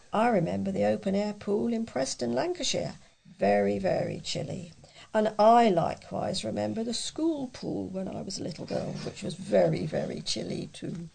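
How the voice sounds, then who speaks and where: Very creaky, husky woman reading local news. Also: mid-range voice, a little mellow, poor audio. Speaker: volunteer newspaper reader.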